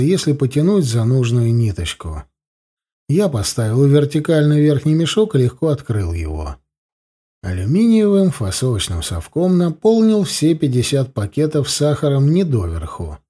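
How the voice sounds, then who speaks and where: A man narrating a first-person audiobook with a storytelling, expressive delivery. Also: medium pitch, slightly rough.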